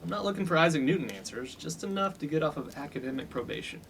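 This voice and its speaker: A cocky young frat boss, acted by a man in a comic radio play, slangy and blunt. He talks fast in a fairly high voice, with slight noise behind. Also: expressive, fairly bright tone. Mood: pleading. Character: cocky male college upperclassman fraternity boss with chip on shoulder. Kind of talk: 1950s-set comic radio play acting.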